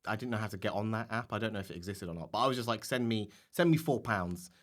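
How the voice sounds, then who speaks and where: A man's voice: fairly low pitch, fast talker. A man from Norfolk with an English accent chats casually and jokingly as a comedian guest on a podcast, often laughing as he talks. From Norfolk, England. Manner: jokey, laughs often while talking.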